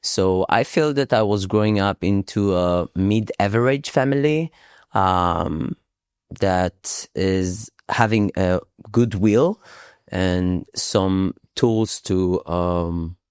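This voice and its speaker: A man from Israel, a podcast guest who speaks slowly and thoughtfully, pausing often with fillers as he searches for words. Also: sits low, darkish tone, clear, resonant tone, dry recording, studio quality. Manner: slow, thoughtful, hesitant with many pauses and fillers. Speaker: podcast guest, psychodrama facilitator. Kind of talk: casual interview conversation. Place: Thailand island.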